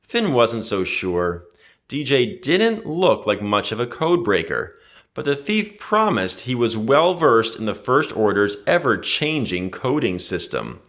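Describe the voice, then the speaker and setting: Animated male storybook narrator. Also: medium pitch, a little slow. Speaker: read-aloud narrator. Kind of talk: expressive children's storybook read-aloud.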